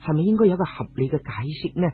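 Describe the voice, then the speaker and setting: A man's voice: a little high, sing-song, quick pace, a little hiss or hum. A man voicing an icy, suspicious noblewoman in a storybook tale, cold and controlled as she questions. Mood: cold, probing. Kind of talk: storybook character dialogue. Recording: tinny narrow-band radio sound, music behind.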